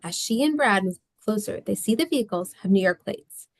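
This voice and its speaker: A woman's voice: medium pitch, animated, fairly quick, no room sound. A woman reading scripted stage directions as narrator of a screenplay table read.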